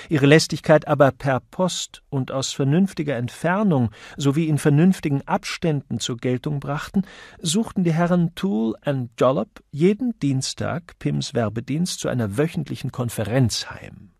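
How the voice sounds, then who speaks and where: Male narrator, audiobook reading, husky, creaky, dry close sound. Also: medium pitch, darkish tone, no echo.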